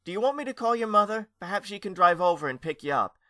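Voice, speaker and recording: A man voicing a kind, concerned mother speaking gently. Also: sits high, animated, fast talker, no echo, studio quality, voice pushed up. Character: kind worried mother, middle-aged woman.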